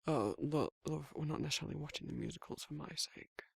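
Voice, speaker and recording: A man acting as a down-to-earth werewolf boyfriend in an ASMR audio roleplay, protective and fond. His voice is slightly high for a man but very husky and heavily creaky, murmured casually and intimately. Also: quick pace, no room sound, clean studio sound, exaggerated voice. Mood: teasing, affectionate. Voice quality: husky, breathy, gravelly, often dropping to a whisper. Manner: casual, colloquial, soft-spoken intimate murmur with soft chuckles.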